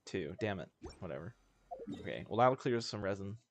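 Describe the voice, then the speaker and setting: A man's voice: sits low, animated, normal speed, a little hiss or hum. A man livestreaming a video game in casual, slangy, joking and self-deprecating chat. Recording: game music behind the voice. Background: video game music.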